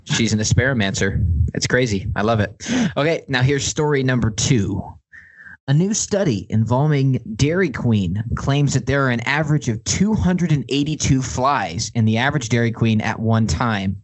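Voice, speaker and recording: A male podcast co-host with a medium-pitched voice. He talks casually as he presents news stories for a game, moving between chat and reading out. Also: expressive, normal speed, poor audio.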